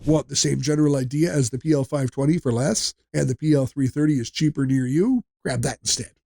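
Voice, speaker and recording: A man's voice: medium pitch, fairly quick, gravelly, dark tone, no echo, clean studio sound. A man narrating a scripted product buyer's guide in a direct, plain-spoken, instructive way.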